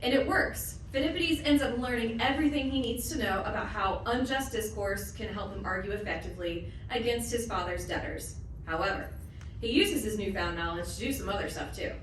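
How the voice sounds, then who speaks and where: A woman's voice: medium pitch, a little fast. A woman presenting a play, reading out scripted narration to introduce it. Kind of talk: scripted narration to a live audience. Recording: very echoey room, distant mic, faint noise.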